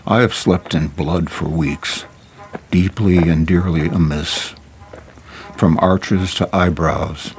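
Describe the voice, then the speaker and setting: A man reading spoken-word poetry in a solemn, measured, deliberate way, recorded in a studio. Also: rumbling low, vocal fry, a little hiss or hum. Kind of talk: dramatic poetry recitation. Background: music.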